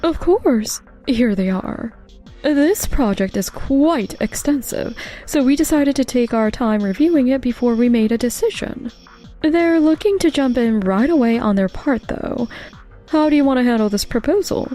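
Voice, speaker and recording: A woman's voice: fairly high pitch, lively intonation, moderate pace, mellow, close to an everyday voice. A woman voicing a helpful, polite young office colleague in a manga dub. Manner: polite, businesslike. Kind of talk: manga dub dialogue acting. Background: faint background music.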